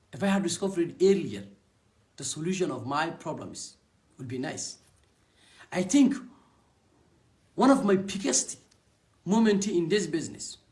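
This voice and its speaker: A young man with a Somali accent, a native Somali speaker, giving an earnest personal testimonial about his network marketing business in short halting phrases. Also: on the high side, darkish tone.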